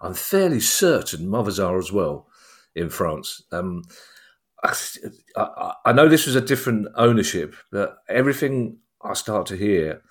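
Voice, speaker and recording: Wry deep-voiced man. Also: very animated, unhurried. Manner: wry, self-deprecating humour. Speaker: podcast co-host. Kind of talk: casual football chat.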